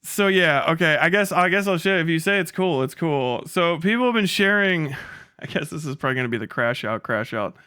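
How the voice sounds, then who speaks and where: A man's voice: on the high side, animated, brisk, sharp tone, clean tone, dry recording, clean studio sound. A man giving casual livestream commentary as the host, rambling and stammering with plenty of swearing and an amused manner. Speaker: live streamer, host of the channel.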